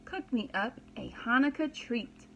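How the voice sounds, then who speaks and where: A kindly, stooped old villager from a storybook, as a woman reader plays her. She speaks slowly and earnestly, gently solemn, as if handing down careful instructions. The recording carries a faint background noise. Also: high-pitched, rough recording. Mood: kindly, instructive. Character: kind, bent old peasant woman, shivering yet gently solemn.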